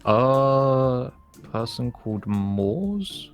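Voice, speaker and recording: A man playing a dry, skeptical demigod camper in improvised Minecraft roleplay, speaking in a hesitant, deadpan way. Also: mid-range voice, extremely slow, soft background music.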